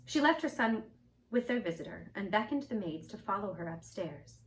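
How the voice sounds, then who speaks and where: A woman in costume reading a fairy tale aloud in a gentle, expressive storytelling voice. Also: medium pitch, normal speed, a little hiss or hum. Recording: roomy echo, mic at a distance.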